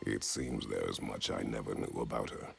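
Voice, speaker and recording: An actor playing a stern, brooding older warrior father in a video game, speaking in terse, grave phrases with a very deep, gravelly voice. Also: fairly even intonation, moderate pace, a little hiss or hum, full character voice. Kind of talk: video game drama acting. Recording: music behind the voice.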